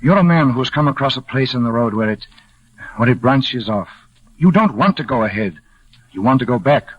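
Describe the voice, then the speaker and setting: Casting: wise older blind man, husky voice, reassuring and measured, on a dull old radio recording. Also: medium pitch, lively intonation. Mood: calm, reassuring. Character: gentle, philosophical older blind man, former pilot. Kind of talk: 1940s radio drama acting.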